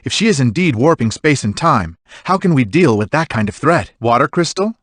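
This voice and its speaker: A lordly military leader from a fantasy video game. His middle-pitched voice moves widely as he weighs each matter with gravity. The sound is close and dry. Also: sing-song, brisk, a bit bright, no echo. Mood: pondering. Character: dignified male lord and army commander, deliberating gravely. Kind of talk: scripted video game voice acting.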